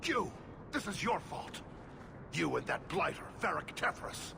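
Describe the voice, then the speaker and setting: An actor playing an indignant, pleading middle-aged dwarf merchant, a father, with a gravelly voice, in a video game. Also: on the high side, big pitch swings, measured pace, noisy background, exaggerated voice. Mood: furious.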